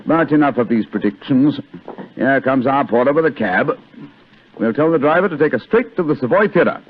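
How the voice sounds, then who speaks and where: A man acting urbane English detective, very animated, rapid crisp speech, theatrical, vintage radio play, tinny broadcast. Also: medium pitch, natural voice. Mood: thoughtful. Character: brisk, assured, urbane English gentleman detective.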